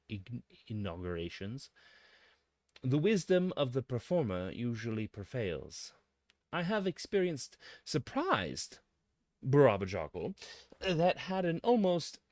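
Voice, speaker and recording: Man, pompous mock scholar, lively rise-and-fall pitch, dramatic pauses, dry close sound. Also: dry recording. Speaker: presenter of a mock-scholarly essay. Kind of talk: scripted mock-academic monologue.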